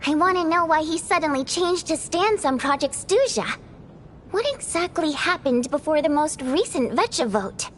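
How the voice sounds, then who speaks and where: A very high, swooping voice of a young stage starlet, expressive and bossy in a video game story. Clear background noise sits behind her. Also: normal speed. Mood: curious, secretive. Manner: expressive, exclamatory. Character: lively young female theater star, bossy and sharp-tongued.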